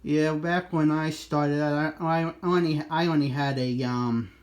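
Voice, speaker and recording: A man in a casual two-way radio chat, speaking haltingly and often breaking off to restart his sentences. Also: fairly high pitch, fairly even intonation. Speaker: amateur radio operator. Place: two-way radio exchange over a repeater.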